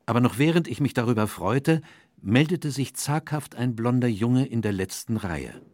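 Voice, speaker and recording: An actor playing a measured, reflective gentleman who narrates a radio play in the first person. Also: medium pitch, animated, dry recording, studio quality, natural voice.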